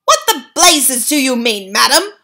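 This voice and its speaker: A woman voicing a blustering middle-aged English father who shouts and stammers in fury. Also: very high voice, animated, slow, voice pushed up, full character voice. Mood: furious. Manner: loud, spluttering, stammering.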